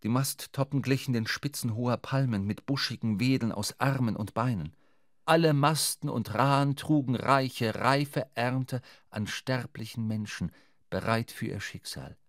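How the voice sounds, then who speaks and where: A man's voice: mid-range voice, measured pace, dry recording. A man narrating a literary sea adventure for a radio drama, recorded close in a studio.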